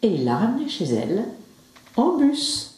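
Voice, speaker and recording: Mellow woman telling a story onstage. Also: a little high, very animated, moderate pace, a little hiss or hum. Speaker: stage performer. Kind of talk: theatrical storytelling recitation. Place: theatre stage. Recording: very echoey stage.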